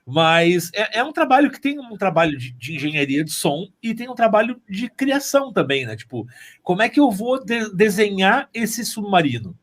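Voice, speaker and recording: A man's voice: high-pitched, normal speed. A man with a southern Brazilian accent, talking casually in an interview and telling things like a storyteller who acts out remarks. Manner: animated storyteller, acting out quoted remarks. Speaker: sound engineer and mixer on an audio drama.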